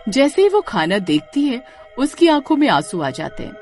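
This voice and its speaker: A woman narrating a scripted horror story in a dramatic, expressive way. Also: sits high, normal speed, soft background music.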